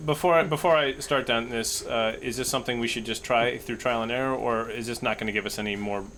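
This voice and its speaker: A man talks casually as a player in a tabletop roleplaying game. His mid-range voice is bright and forceful, with faint noise in the recording. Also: mid-range voice, steady tone, quick pace. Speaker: player in an actual-play show.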